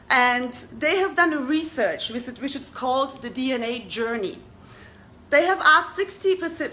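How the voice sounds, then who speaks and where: A woman's voice: high-pitched, bright, forceful tone, slight background noise. A young woman from Germany hosting a talk show, speaking to an audience in an earnest way and asking them rhetorical questions. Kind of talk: addressing an audience.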